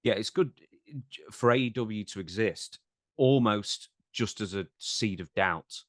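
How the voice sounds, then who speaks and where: A man's voice: lowish voice, measured pace, no room sound, studio quality. A man co-hosting a podcast, reading out news and discussing it in a casual, slightly hesitant, questioning way. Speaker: podcast co-host.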